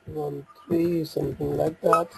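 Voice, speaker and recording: A man giving an unscripted software walkthrough, talking through each step in a halting way as he thinks aloud. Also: on the high side, level tone, vocal fry, slight background noise. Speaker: software demo presenter.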